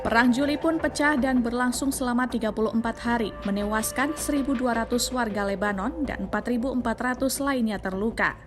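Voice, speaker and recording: A woman with an Indonesian accent reads a scripted news explainer in a formal, measured manner. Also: on the high side. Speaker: news narrator. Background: background music.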